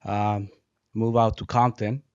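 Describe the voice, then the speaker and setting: A man from Mexico in his forties, a guest engineer speaking softly and hesitantly, with many fillers. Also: lowish voice, little pitch movement, slow pace, clean tone, dry recording. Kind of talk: casual interview talk. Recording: dull dry podcast audio.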